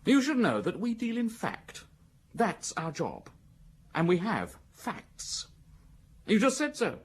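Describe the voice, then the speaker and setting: A man's voice: high voice, wildly swooping pitch, slow, husky, heavily acted. A man acting an impatient, officious examining magistrate in a radio drama, brusque and bossy, with a British accent. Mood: impatient, insistent. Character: impatient, literal-minded male examining magistrate, a bossy superior.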